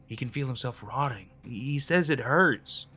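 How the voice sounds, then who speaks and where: A man's voice: mid-range voice, lively intonation, unhurried, bright, forceful tone, breathy edge, faint music behind, heavily acted. A man voicing a terrified, paranoid young man who is losing his mind, frantic and pleading, in a dramatic story. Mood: haunted whispering dread.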